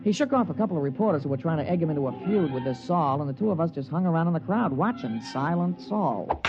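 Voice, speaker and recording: Folksy slangy caddie sidekick, old radio, bit high voice, music strong behind. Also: male voice, expressive, quick pace, muffled recording. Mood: storytelling. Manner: colloquial, slangy, plain. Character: folksy, easygoing male golf caddie, loyal sidekick. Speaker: radio drama actor and narrator. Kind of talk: 1950s radio drama acting and first-person narration.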